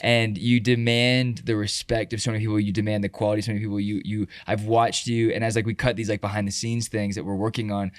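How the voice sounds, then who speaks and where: A man's voice: lowish voice, flat delivery, fast, few pauses, no echo, clean studio sound. A man hosting a casual interview, chatty, laughing often and restarting his sentences. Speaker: interview host. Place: indoor room at a retreat.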